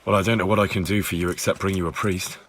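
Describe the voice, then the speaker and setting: A man's voice: sits low, steady tone, fast, a bit bright, husky. A man acting a plain-spoken young investigator in a video game, dogged and a little wry. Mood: insistent.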